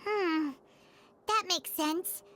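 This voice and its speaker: A woman voicing a small, childlike, curious companion sprite in a video game, squeaky, inquisitive and expressive. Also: very slow, a little hiss or hum, heavily acted. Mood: curious, pondering. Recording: loud music behind the voice.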